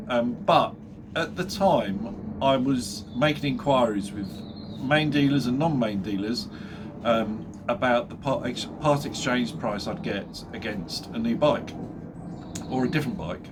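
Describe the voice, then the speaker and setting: Man, middle-aged, nasal from a cold, rambling and jokey, ordinary pitch, faint background noise. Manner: chatty, jokey, laughs at his own jokes, many fillers. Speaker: motorbike vlogger. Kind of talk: casual chat to camera.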